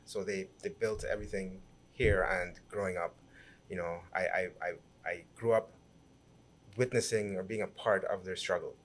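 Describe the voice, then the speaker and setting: Guyanese guy in his 30s on a podcast, lowish voice, chatty, keeps restarting sentences. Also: normal speed. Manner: animated storyteller with frequent restarts and repeated words. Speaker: guest, businessman and city councillor. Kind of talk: conversational podcast interview.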